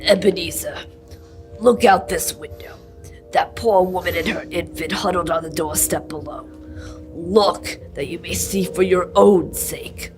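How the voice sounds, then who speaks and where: A woman's voice: fairly low pitch, a little slow, music in the background, natural voice. A young woman acting a doomed, mournful male ghost, solemn and portentous, in an old-time radio drama. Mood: warning. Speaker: student actor.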